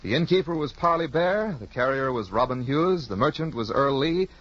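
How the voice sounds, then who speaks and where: A man's voice: medium pitch, animated, normal speed, fairly bright tone. An American man announcing in formal, scripted 1950s network radio style, enunciating with care. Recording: dull old-time radio recording.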